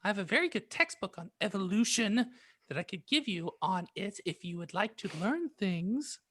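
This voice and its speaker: A man voicing a smug, preachy middle-aged aunt, sickly sweet and condescending, with a raspy, screechy edge in an audiobook parody. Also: high-pitched, sing-song, normal speed, fairly bright tone, pitched above the performer's own voice, heavily acted.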